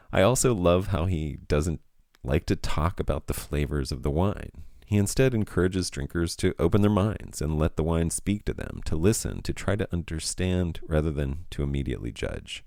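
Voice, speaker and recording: A man with a very low, gravelly voice hosts a podcast and reads a measured, reflective introduction. His pitch swings widely as he speaks, and the recording is dry and close. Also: a little mellow, no echo. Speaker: podcast host. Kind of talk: scripted intro then interviewing.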